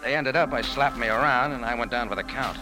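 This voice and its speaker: An actor playing a tough, wry American bar owner in a 1940s radio drama, narrating in clipped slang. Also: male voice, mid-range voice, fast talker, natural voice, rough recording. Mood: wry recounting. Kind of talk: 1940s radio drama, hard-boiled first-person narration. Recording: vintage radio recording with loud organ music.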